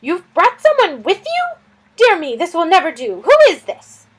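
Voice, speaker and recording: A woman's voice: very high-pitched, huge pitch swings, moderate pace, voice pushed up, full character voice, poor audio. A woman voicing a fussy, flustered little male lizard who dithers and frets in an audiobook. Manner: twittering, easily fretting, dithering. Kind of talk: audiobook character voice.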